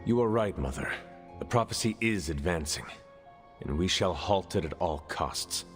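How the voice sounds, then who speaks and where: An actor playing a calm, resolute demon hunter in a video game, measured, earnest and slightly formal. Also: male voice, low voice, soft background music. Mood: guarded, resolute. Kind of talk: dramatic video game acting.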